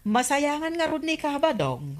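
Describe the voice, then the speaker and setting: A woman's voice: high voice, very animated, normal speed. An actress with an Ilocano accent playing a sympathetic, gossipy village woman in a radio comedy drama. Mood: concerned, gossipy.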